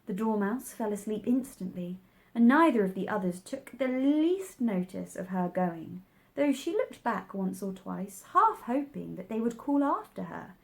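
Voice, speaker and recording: A woman's voice: mid-range voice, big pitch swings, unhurried, gravelly. A woman reading a children's story aloud as narrator. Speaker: storybook reader.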